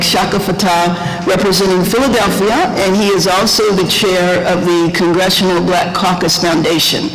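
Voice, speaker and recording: A woman hosting a policy forum, reading out panelist introductions with frequent hesitation fillers. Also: medium pitch. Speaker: forum host and moderator. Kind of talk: formal panel moderation. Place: conference room. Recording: echoey conference room.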